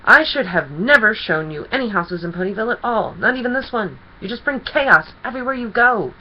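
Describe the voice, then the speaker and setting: A woman's voice: a little high, lively intonation, brisk, highs cut off, voice pushed up, lightly acted. A woman voicing a flustered, indignant male pony who protests in exasperation, read from a children's storybook. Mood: angry, exasperated. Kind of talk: children's storybook character reading.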